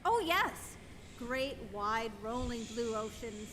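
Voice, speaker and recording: An actor playing an earnest, homesick human space traveller in a live radio play. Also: male voice, extremely high pitch, animated, takes it slowly, sharp tone, exaggerated voice. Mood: wistful. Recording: noisy, reverberant hall.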